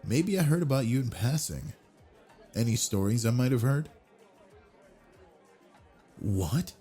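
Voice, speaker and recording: A man playing a soft-spoken, dangerous yandere charmer. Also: medium pitch, very animated, a little slow, soft background music, some chatter behind. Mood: flirty. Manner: quiet brooding inner monologue, then smooth flirty charm. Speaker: audio roleplay performer. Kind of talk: scripted audio roleplay.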